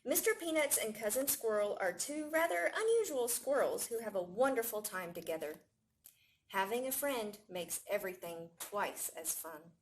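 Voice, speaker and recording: A woman reading a picture book aloud to young children in an expressive storytelling manner. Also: medium pitch, moderate pace, a little hiss or hum, low-quality recording. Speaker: library staff storytime reader.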